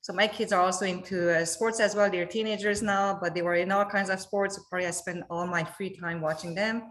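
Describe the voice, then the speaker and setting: A woman's voice: on the low side, fairly even intonation, a little fast, a bit bright, rough recording. Woman, chatty and laughing. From Montreal, Canada. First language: French. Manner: laughing, many fillers and restarts. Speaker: company executive guest. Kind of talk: casual panel discussion.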